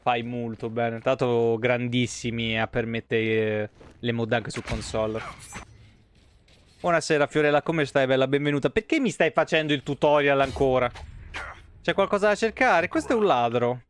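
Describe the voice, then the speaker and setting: A man in his thirties with a clear, resonant voice of medium pitch and a Roman Italian accent. He streams a game live, commenting casually in slangy language. He drifts into rambling asides as he chats with his viewers. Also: normal speed.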